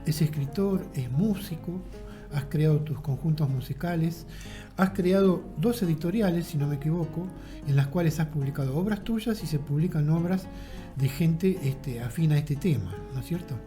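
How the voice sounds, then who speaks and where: A man's voice: a little high, moderate pace. A man, hosting a casual interview and backing up his guest with short affirming remarks. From Argentina. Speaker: host. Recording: faint music behind.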